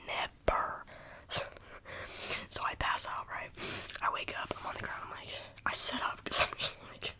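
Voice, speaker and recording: A young adult telling a rambling, casual story in a soft ASMR whisper, with a slight lingering cough. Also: normal speed, pauses often. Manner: rambling, casual, full of "like" fillers.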